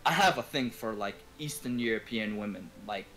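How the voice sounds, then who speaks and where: A man's voice: on the low side, expressive, moderate pace, pushed and bright, low-quality recording. A man from Ontario, chatting casually as a game streamer in a rambling, slangy, swear-heavy way. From Ontario, Canada. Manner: rambling, slangy, profanity-laden. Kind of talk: casual profane chat.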